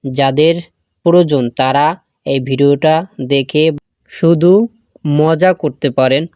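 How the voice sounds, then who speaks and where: A man's voice: on the high side, fairly even intonation, measured pace, darkish tone, clean tone, no echo. A man hosting a step-by-step phone app tutorial, talking directly to viewers and often repeating his phrases. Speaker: tech tutorial host.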